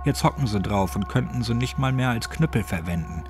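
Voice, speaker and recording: A man's voice: mid-range voice, animated, fairly quick, music in the background, close to an everyday voice. A man voicing a cynical, grumbling old village man in clipped, colloquial speech for a horror audiobook. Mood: grumbling contempt. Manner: colloquial, clipped, gruffly chatty. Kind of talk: horror audiobook character dialogue.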